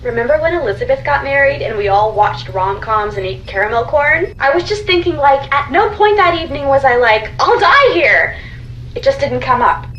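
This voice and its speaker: Scared chatty young woman for a video game, super high bright voice, dramatic, bit noisy behind her. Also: lively intonation, normal speed, highs cut off. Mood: wry, nervous. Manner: melodramatic, joking nervously. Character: frightened, melodramatic young woman, chatty and jumpy. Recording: roomy echo with background ambient noise.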